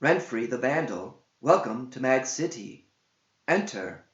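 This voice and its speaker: A man reads as a stately automaton guarding a gate in a verse tale. The delivery is very slow, formal and ceremonious. The sound is dull and echoing. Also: mid-range voice, sing-song. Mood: solemn. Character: formal, solemn automaton gatekeeper welcoming a conqueror. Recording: dull roomy echoing home recording.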